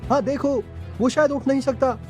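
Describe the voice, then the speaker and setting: A man voicing a loyal, watchful companion in a cartoon adventure, earnest and animated. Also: very high-pitched, moderate pace, soft background music. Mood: alarmed.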